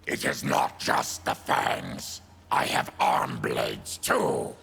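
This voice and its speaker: An actor voicing a bitter, proud, fanged monster warrior in a video game, gravelly and snarling. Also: male voice, rumbling low, expressive, slow pace, sharp tone, faint music behind, exaggerated voice. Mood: bitter, menacing.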